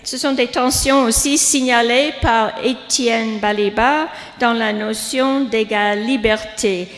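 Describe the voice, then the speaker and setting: A woman's voice: fairly high pitch, fairly even intonation, slow, faint music behind. Older woman, hesitant, echoing hall. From United States. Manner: hesitant, many fillers and restarts. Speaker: guest speaker, professor. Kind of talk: academic lecture. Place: lecture hall. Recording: long reverb of a hall.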